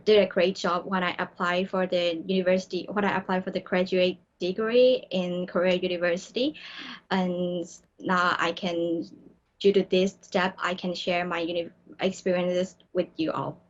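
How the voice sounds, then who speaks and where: A woman's voice: lowish voice, flat delivery, measured pace, dull audio. Earnest young woman from Myanmar. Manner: earnest, with frequent restarts and fillers. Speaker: graduate student guest. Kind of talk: studio interview answers.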